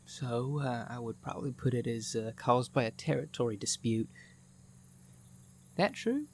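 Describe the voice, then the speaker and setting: A man's voice: mid-range voice, expressive, moderate pace, a little mellow, a little hiss or hum, natural voice. A man playing an eager, kindly young student with octopus tentacles, folksy and hesitant in an audio roleplay. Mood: gentle, curious. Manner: folksy, hesitant, corny joking. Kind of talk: character acting in an ASMR audio roleplay.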